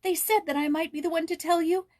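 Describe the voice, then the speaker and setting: A woman voicing an excited young boy who is bursting with happy news, in a children's storybook reading. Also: extremely high pitch, fast, voice pushed up. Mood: bursting with excitement.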